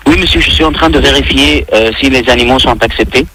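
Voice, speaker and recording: A man's voice: mid-range voice, quick pace, sharp tone, slightly rough, no room sound, rough recording. A man working as a hotel booking agent, speaking formally and patiently on a customer service call.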